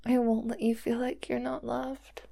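A woman voicing a doting, possessive young girlfriend who holds her lover captive, talking softly and sweetly in a whispery voice. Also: fairly high pitch, flat delivery, normal speed, vocal fry. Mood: soothing. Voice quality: soft and whispery. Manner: sweet, clingy, coaxing. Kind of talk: roleplay audio drama to the listener.

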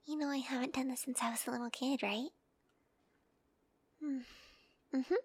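A young woman whispering softly in an audio roleplay to the listener, giggly and intimate, close to the mic. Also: sits high, steady tone, moderate pace, slightly rough, no room sound. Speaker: roleplay performer.

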